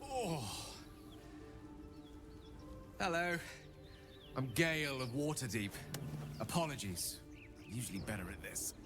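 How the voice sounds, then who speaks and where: Male wizard for fantasy game, played by an actor: verbose, affable, faintly pompous, very lively intonation. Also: mid-range voice, moderate pace, close to an everyday voice. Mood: sheepish, friendly. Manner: florid, wordy, theatrical. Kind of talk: dramatic game dialogue. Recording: music behind the voice.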